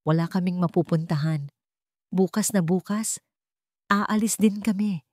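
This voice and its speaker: A woman's voice: on the low side, unhurried, a little mellow, dry recording, studio quality, close to an everyday voice. A woman voicing a desperate young mother who pleads, in a radio drama. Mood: pleading.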